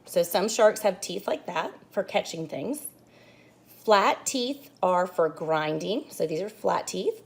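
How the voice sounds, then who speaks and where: A woman's voice: on the low side, slow pace, creaky. A woman teacher reading a children's book aloud, explaining pages to young students as she goes. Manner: expressive storybook reading with asides to kids. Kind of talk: children's read-aloud lesson.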